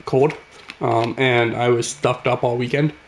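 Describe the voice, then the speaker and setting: Raspy man calling a hockey game. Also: medium pitch, unhurried, roomy sound, a little hiss or hum. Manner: excitable, calls the action as it happens. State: raspy voice from a cold. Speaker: gaming channel host. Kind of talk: casual play-by-play commentary over a hockey video game.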